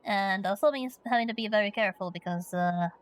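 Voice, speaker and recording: A woman's voice: medium pitch, a little fast, clean tone, no echo. A woman from Finland streaming a story game, chatting playfully and reading questions aloud.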